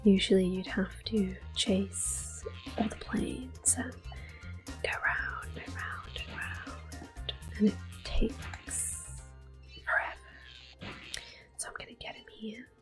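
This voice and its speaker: Female gameplay host, ASMR: unhurried, soft-spoken to whispered, gentle, close-miked over faint music. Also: mid-range voice, huge pitch swings. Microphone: close microphone. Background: soft game music.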